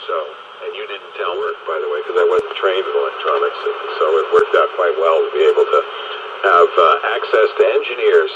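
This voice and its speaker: A man reminiscing casually in a rambling, halting way over the radio. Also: low voice, fairly bright tone. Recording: dull, noisy, reverberant shortwave radio reception.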